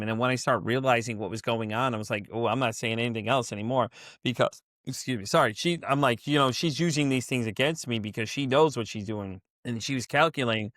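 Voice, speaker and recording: A man's voice: medium pitch, fast talker, pushed and bright, no room sound, studio quality. A man hosting a podcast interview, talking in a rambling way, full of "like" and "you know". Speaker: podcast host.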